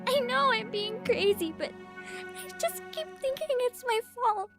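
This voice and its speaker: A woman's voice: very high voice, lively intonation, a little slow. A woman voicing an earnest, grieving young sister in a visual novel game. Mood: grieving, upset. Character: grieving young sister, guilt-ridden, earnest, teasing with her brother. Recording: music playing behind the voice.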